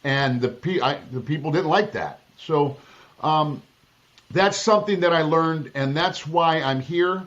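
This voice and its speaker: A man's voice: on the high side, a little slow, fairly bright tone. Political candidate, male, from Southern California; emphatic, blunt delivery, restarts mid-sentence, slightly dull recording. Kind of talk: interview answers.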